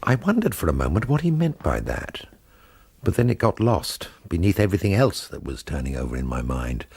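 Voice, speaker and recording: Wry, put-upon forger, very animated. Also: male voice, deep voice, brisk, vocal fry, husky, full character voice. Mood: brooding. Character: weary, put-upon male art forger on the run. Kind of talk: radio drama acting and first-person narration.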